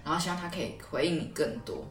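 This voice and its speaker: A woman's voice: deep voice, lively intonation, quick pace, soft background music. A young woman with a Taiwanese Mandarin accent co-hosting a podcast in casual conversation, recorded with a roomy echo. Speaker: podcast co-host.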